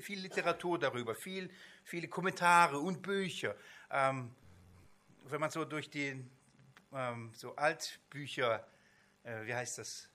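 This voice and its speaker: A man who speaks as a non-native, giving an informal Bible seminar lecture in a halting way, often rephrasing mid-sentence. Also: fairly high pitch, pushed and bright. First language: not German. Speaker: Bible teacher.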